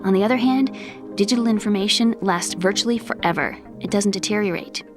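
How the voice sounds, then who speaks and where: A woman's voice: medium pitch, a little fast, music in the background, lightly acted. An actress voicing an earnest, brainy young woman computer scientist in a video game, lecturing precisely and a little haughtily with pointed questions. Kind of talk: scripted game cutscene dialogue.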